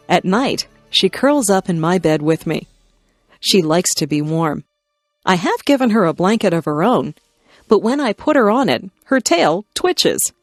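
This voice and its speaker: A woman with a slightly low voice reads short, simple stories aloud as scripted lesson narration. Her phrasing is careful and orderly, one plain sentence after another. The sound is close and dry, with little room around it. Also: no room sound. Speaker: narrator of an English listening lesson.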